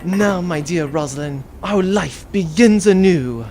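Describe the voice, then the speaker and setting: A man's voice: sits high, a little slow, over loud music, voice pushed down. A man voicing a pompous, chauvinist nobleman with a florid, condescending manner in a comic space adventure. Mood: lovestruck. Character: pompous, chauvinist nobleman in love, rebel duke.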